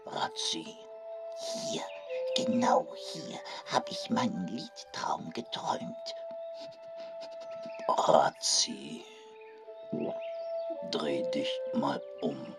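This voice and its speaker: Whispering animal adventurer, very deep, slow and reverent, radio play, loud music underneath. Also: female voice, big pitch swings, heavily acted. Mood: hushed wonder. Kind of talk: German radio play acting.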